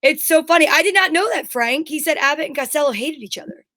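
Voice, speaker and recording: A woman's voice: high voice, fast talker, bright, forceful tone, no room sound, clean studio sound. A woman co-hosting a show, chatting casually and informally on a livestream. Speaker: show co-host.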